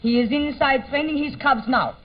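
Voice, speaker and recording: A woman's voice: high voice, moderate pace, natural voice. An actress playing a refined, coaxing circus woman with formal, theatrical phrasing in an old-time radio comedy. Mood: persuasive. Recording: muffled old radio recording, audience behind.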